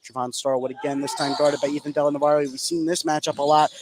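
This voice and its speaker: Male sports play-by-play commentator, slightly high voice, live basketball call with obvious gym ambience. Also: level tone, fast. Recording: clear game noise behind the voice. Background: gym ambience of a basketball game.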